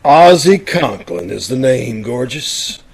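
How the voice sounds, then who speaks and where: A man performs a gushing ladies' man from an old radio comedy. He peddles a used car with slow, oily sweet talk, wheedling in a middle-pitched voice. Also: very animated, gravelly, husky, heavily acted, poor audio. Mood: flirtatious.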